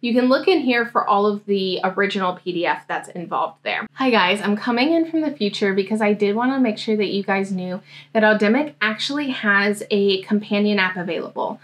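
A young American woman giving a casual software tutorial, explaining things step by step. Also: mid-range voice, animated, fairly quick. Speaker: host, academic coach demonstrating software. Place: indoor room, seated at a desk.

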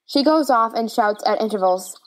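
A woman's voice: fairly high pitch, lively intonation, moderate pace. A woman narrator reading stage directions as scripted narration.

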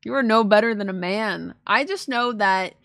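A woman's voice: medium pitch, moderate pace, gravelly, a bit bright, dry recording. Gushing young woman streamer, dry close sound. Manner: gushing, slangy, playful. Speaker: streamer reacting to game trailers. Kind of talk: casual reaction commentary. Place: small indoor streaming room at home. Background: faint trailer music.

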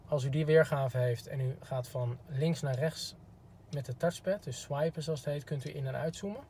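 A man's voice: a little high, normal speed, dark tone. A man giving a polite, informal instructional demo as a car product expert, recorded inside a parked car. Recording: clear background noise.

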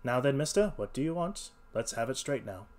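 A man's voice: mid-range voice, lively intonation, fairly quick, lightly acted. A man voicing a stubborn, irritable market goose salesman in a storybook reading, snapping and combative in his manner. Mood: furious. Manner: gruff-worded, snapping, combative.

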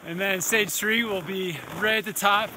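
A man's voice: high voice, steady tone, moderate pace, noisy background. A young man casually narrating a snowboard vlog outdoors on a snowy mountain, talking to viewers into an arm's-length action camera. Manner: upbeat, addresses viewers as "guys", whoops. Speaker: snowboard vlogger. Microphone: action camera held at arm's length.

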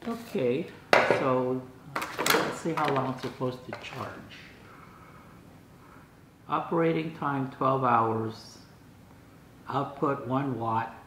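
A man's voice: mid-range voice, fairly even intonation, slow pace, long pauses. A man in his sixties chatting casually and thinking aloud during an unboxing, with puzzled asides and bits read out. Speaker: vlogger. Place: home dining room, indoors. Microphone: camera across the table. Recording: roomy echo, clear noise, camera across table.

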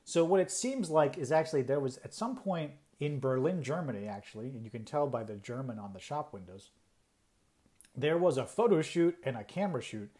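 A man's voice: medium pitch, a little mellow. Man explaining things in a conversational, emphatic way. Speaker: presenter.

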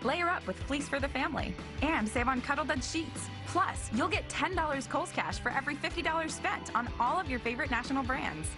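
A woman's voice: high-pitched, expressive, fairly quick, few pauses. A woman reading a scripted sale promotion as a retail announcer. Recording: music behind.

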